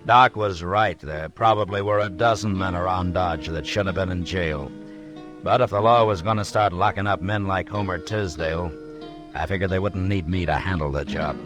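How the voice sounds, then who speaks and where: An American actor playing a calm, firm, fair-minded frontier marshal in an old-time radio western, speaking in a laconic, dryly wry way. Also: male voice, low voice, normal speed, close to an everyday voice. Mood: reflective narration. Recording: old radio drama, music behind.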